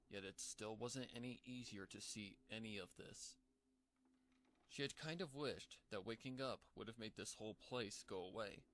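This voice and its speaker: A man reading a dark fan fiction story aloud as scripted narration. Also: fairly low pitch, a little hiss or hum.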